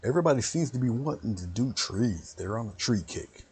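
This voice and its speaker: A man with a very low voice giving a casual, rambling painting lesson on a livestream and greeting viewers by name. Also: animated, brisk, a little mellow, breathy edge. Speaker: painting instructor.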